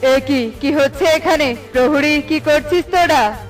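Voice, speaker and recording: A woman's voice: high-pitched, a little slow, soft background music. Woman acting a commanding, exclamatory eagle king. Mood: alarmed, stern. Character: kindly, indignant eagle king, protector of birds. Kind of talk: children's cartoon voice acting.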